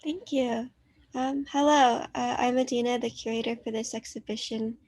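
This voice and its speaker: A woman curator introduces the artists in a flat, even tone with a lot of vocal fry. She talks politely and fills her pauses with ums, and her voice sounds close and dry, recorded at home in her apartment. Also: fairly high pitch, no room sound. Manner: polite, filler-heavy presenting. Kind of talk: hosting a virtual artist talk.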